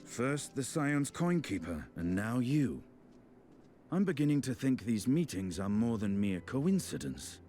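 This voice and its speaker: A man's voice: mid-range voice, sing-song, a little slow, dark tone, faint music behind. A man playing a blunt, teasing, battle-hardened knight in a fantasy game. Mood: amused, teasing.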